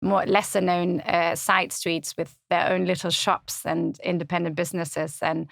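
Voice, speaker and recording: A woman's voice: fairly low pitch, level tone, moderate pace, vocal fry, no room sound. A woman from Germany with a German accent, a guest on a studio podcast chatting casually and thoughtfully with many fillers. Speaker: podcast guest, community website founder. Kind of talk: casual interview chat.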